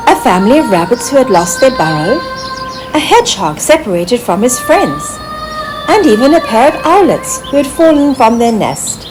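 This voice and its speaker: A woman reading a children's story aloud in an expressive, deliberate storytelling manner. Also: on the high side, over music, rough recording. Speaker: author reading own story. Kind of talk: scripted children's storybook narration.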